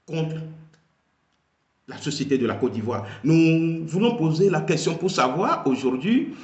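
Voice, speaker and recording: A man analysing a video, speaking slowly and emphatically and repeating key phrases. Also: fairly high pitch, darkish tone, echoey room, highs cut off. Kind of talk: political commentary.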